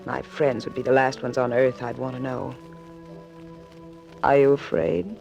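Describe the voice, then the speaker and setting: A woman's voice: a bass voice, steady tone, a little slow, gravelly, a little mellow, heavily acted. An actress playing a cool, secretive and anxious woman in trouble in a 1940s radio drama. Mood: cutting. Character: anxious, cool, secretive woman being blackmailed, pleading for help. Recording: dull vintage 1940s radio recording, faint music.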